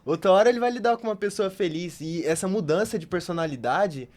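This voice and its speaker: A man's voice: sits high, lively intonation, quick pace, a little mellow. A man with a Brazilian accent chatting casually as a podcast co-host. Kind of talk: casual chat.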